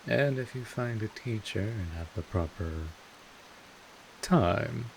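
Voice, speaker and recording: A man playing a gruff but caring vampire mentor in an audio roleplay, explaining things in an informal, rambling, mildly exasperated way. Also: fairly low pitch, lively intonation, slow, a little hiss or hum, heavily acted, rough recording. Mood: instructive, matter-of-fact. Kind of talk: scripted audio roleplay addressed to the listener.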